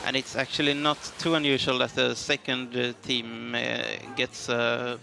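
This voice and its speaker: A man's voice: medium pitch, flat delivery, normal speed, creaky, noisy background. A man commentating live on a ski race in English, hesitant and full of fillers. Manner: hesitant, many fillers, non-native English.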